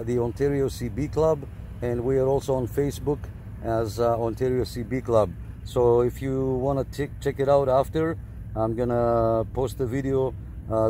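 Guy on cb radio in his car, says roger roger a lot, lots of uh, average pitch, street noise behind. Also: mellow. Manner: radio lingo, repeats phrases, many fillers. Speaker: CB radio operator and vlogger. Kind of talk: casual radio chat and on-camera walkthrough. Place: parked car in a city lot, then outdoors.

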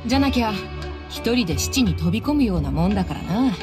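A woman's voice: mid-range voice, very animated, quick pace, over music, lightly acted. A woman playing a bold, easygoing mercenary in a video game, tomboyish, blunt and familiar. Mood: supportive.

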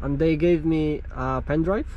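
A young Polish-native man speaks slowly and haltingly, full of fillers, in a slightly high voice. He is outdoors with his truck, and steady traffic and road noise can be heard clearly behind him. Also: dull audio. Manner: unhurried, halting, with many fillers. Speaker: truck driver vlogger. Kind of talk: casual vlog talk to camera. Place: outdoors at truck parking areas.

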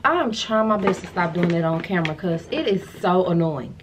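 An American woman in her thirties chatting casually to camera in a sassy, slangy, animated way, as a vlogger showing a haul. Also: fairly low pitch, normal speed, background noise. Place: furnished room at home, indoors.

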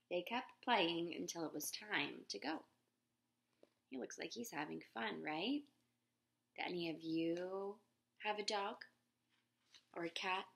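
A woman in her thirties reading a picture book aloud to children in a gentle, expressive teacher voice, recorded on a laptop webcam in a living room. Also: creaky. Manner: gentle, expressive teacher voice, raises pitch for quoted characters. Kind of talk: children's read-aloud with questions to viewers. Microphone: laptop webcam at close range.

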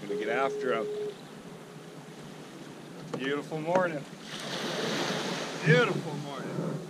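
A man's voice: mid-range voice, takes it slowly. Whooping man fishing from a kayak. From California. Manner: whooping and hollering with excitement. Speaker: kayak angler filming himself. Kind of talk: casual excited narration. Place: kayak on open coastal water outdoors. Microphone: action camera mounted on the kayak. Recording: wind and water noise outdoors.

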